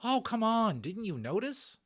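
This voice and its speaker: A man acts a practical young English boy in a children's storybook. The voice is pushed higher and jumps around. He is blunt, impatient and teasing. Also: big pitch swings, measured pace, fairly bright tone, breathy edge, full character voice. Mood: teasing, incredulous. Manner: blunt, exclamatory.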